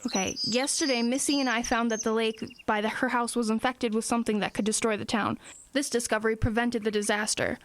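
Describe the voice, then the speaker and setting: A woman playing a plucky teenage girl in an audio drama, matter-of-fact, earnest and brisk. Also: on the high side, fairly even intonation, a little hiss or hum, close to an everyday voice. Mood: brisk, questioning.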